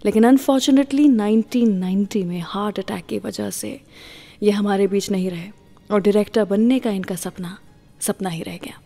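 A woman's voice: mid-range voice, normal speed, mellow, in a large hall, soft background music. A woman with an Indian accent presenting a scripted radio show, mixing English words into her speech.

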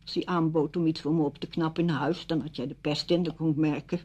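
Radio drama: wounded widow, reproachful and emphatic, rough-edged husky voice. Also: female voice, low-pitched, steady tone, quick pace, darkish tone. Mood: hurt, accusing. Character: wounded, indignant widow confronting her former best friend.

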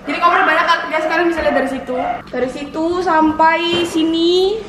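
Young adult woman, high voice, playful casual vlogger, picked up by a distant camera mic. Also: fairly even intonation, brisk. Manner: informal, playful, moving around as she talks. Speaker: vlogger giving a house tour. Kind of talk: casual house-tour vlog chat. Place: indoors, rooms of a house. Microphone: handheld camera mic at some distance. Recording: echoey rooms, distant camera mic, noisy.

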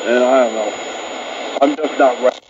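A man's voice: medium pitch, steady tone, normal speed. Man on dull, noisy shortwave radio. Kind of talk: hesitant personal radio conversation.

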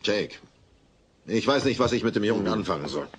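A man's voice: fairly low pitch, expressive, a little fast, a little hiss or hum, close to an everyday voice. A man playing a blunt, hapless, grumbling neighbour in a sitcom audio drama. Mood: helpless.

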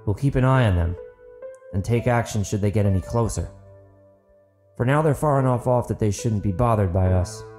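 A man's voice: on the low side, normal speed, a little mellow, soft background music, lightly acted. A man voicing a commanding, protective wagon driver in an audiobook, with an urgent manner. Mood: calm, wary.

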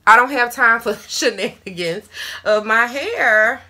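Bright-voiced woman in her 30s chatting at the camera while she dries her hair, calls everyone y'all, hair dryer whirring behind. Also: fairly high pitch, measured pace. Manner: chatty, addresses viewers as y'all, playful asides. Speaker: hair vlogger. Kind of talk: casual product review while styling hair. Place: small bathroom indoors. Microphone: camera in front, hands busy. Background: hair dryer running.

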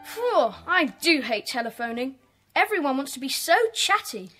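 An actress playing a bossy, earnest schoolboy club leader, brisk and commanding, in a children's audio drama. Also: female voice, high voice, big pitch swings, sharp tone, soft background music, heavily acted. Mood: exasperated.

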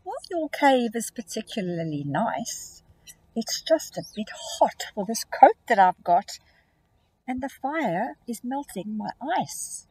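Storybook polar bear, male, gentle and sorry-sounding; a woman puts him on, high and breathy. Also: sing-song, slow pace, exaggerated voice, poor audio. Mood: apologetic. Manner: polite, soft-spoken.

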